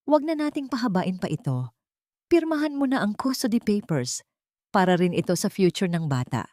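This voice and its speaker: A woman's voice: medium pitch, big pitch swings, normal speed, darkish tone, no room sound, studio quality, natural voice. A woman with a Filipino accent voicing a cold, condescending rich husband in an audio story, stern and pressuring. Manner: stern, pressuring, switches into English.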